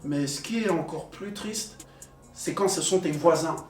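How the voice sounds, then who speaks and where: Distant, echoey young man, hesitant. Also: a little high. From Belgium. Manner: hesitant, many pauses and fillers. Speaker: panel guest. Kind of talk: casual conversation. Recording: echoey room, distant mic, soft music.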